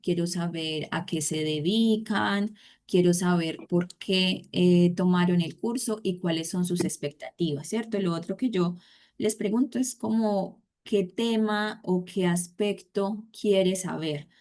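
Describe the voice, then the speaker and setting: A woman's voice: lowish voice, measured pace, darkish tone. A woman teaching an online class, talking conversationally with many fillers and tag questions. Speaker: course instructor.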